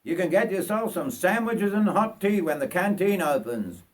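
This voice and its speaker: A friendly railway conductor from a storybook, acted by a man. He talks in a blunt, matey, colloquial way. His voice is pitched up and hearty, as if helping a young passenger along. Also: animated, moderate pace. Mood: helpful, chatty.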